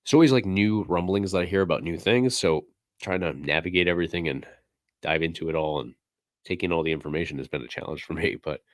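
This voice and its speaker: Man, very deep voice, slightly hoarse, raspy, rambling casual livestream talk, dry close sound. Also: quick pace, no echo. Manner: relaxed, rambling, many fillers. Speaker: livestream host.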